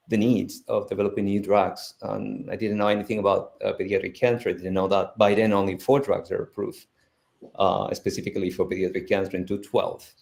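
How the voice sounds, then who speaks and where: A man's voice: lowish voice, vocal fry, a little mellow. A middle-aged man from Spain with a Spanish accent, speaking earnestly on a live stream panel, with many restarts. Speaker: foundation founder, panel guest.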